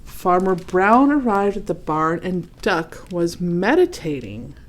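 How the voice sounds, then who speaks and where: A woman reading a children's storybook aloud expressively, pausing to ask her young listeners questions and add comments. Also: lowish voice, very animated. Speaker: read-aloud host.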